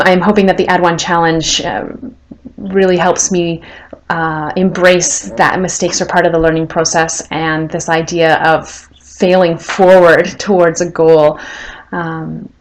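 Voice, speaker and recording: A woman with a Canadian accent, in her thirties, whose voice sits a little low for a woman. She introduces herself in a personal video, speaking earnestly and thoughtfully and pausing now and then to rephrase her thoughts. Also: poor audio. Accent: Canadian English. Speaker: language learner.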